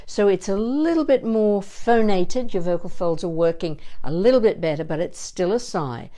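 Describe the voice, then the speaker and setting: A woman teaching vocal warm-up exercises in an expressive, encouraging manner, as a voice coach would. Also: mid-range voice, normal speed. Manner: expressive, encouraging, demonstrates with sighs and playful asides. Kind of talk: instructional warm-up demonstration.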